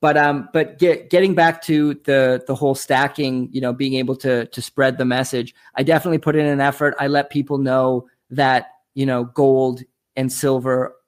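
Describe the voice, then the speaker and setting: A man with a Canadian accent speaks as a guest in a casual interview. He is talkative and explains things at length in an everyday conversational way, with a mid-pitched voice. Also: fairly even intonation, moderate pace. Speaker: interview guest, online commodities show host.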